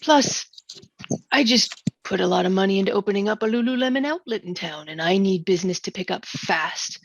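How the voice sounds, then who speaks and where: A woman with a husky voice playing a pompous, scheming small-town mayor at a table read of a comedy musical. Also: mid-range voice, a little fast, no echo, rough recording. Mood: pompous, defiant. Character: self-important, scheming small-town mayor named Bobo.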